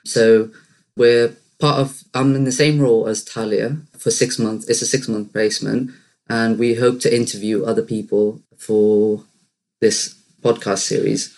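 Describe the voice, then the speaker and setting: A young man raised in the Netherlands, a native Dutch and Arabic speaker, co-hosting a podcast in a relaxed, easygoing way. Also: fairly low pitch, measured pace, poor audio. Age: young adult. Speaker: podcast co-host. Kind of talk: casual podcast chat.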